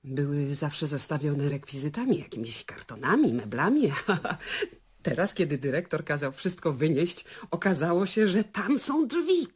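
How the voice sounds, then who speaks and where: An actress playing a superstitious, talkative theatre bar worker in a radio play, husky, hesitant and excitable. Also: female voice, low voice, unhurried, heavily acted. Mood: confiding.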